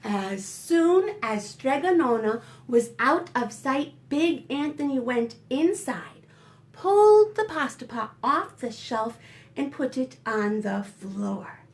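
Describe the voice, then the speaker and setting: A woman reading a picture book aloud to young children as a librarian, expressive and gently addressing her listeners with dramatic gasps and whispered asides. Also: high-pitched, slow pace.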